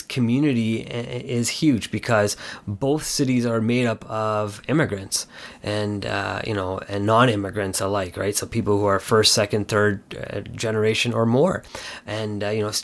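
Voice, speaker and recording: A man co-hosting a podcast, talking casually and earnestly in a hesitant, rambling way full of "you know". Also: lowish voice. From Canada. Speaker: podcast co-host.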